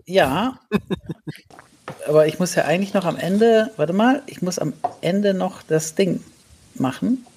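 A man's voice: sits high, expressive, slow pace, long pauses, a little mellow, a little hiss or hum. Enthusiastic male podcast co-host. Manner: enthusiastic, hesitant restarts. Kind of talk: casual chat.

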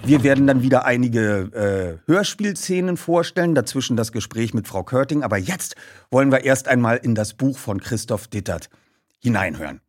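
A man hosting a feature and reading a story aloud as an expressive narrator. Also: mid-range voice, moderate pace, no room sound. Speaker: host and narrator. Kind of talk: podcast introduction and audiobook reading.

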